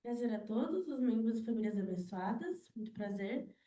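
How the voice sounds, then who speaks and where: A woman's voice: fairly high pitch, lively intonation, normal speed, warm tone, reverberant. An eighteen-year-old woman giving an earnest personal testimony to a church group, padding her talk with small fillers. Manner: earnest, many fillers like "né" and "na verdade". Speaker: team member giving testimony.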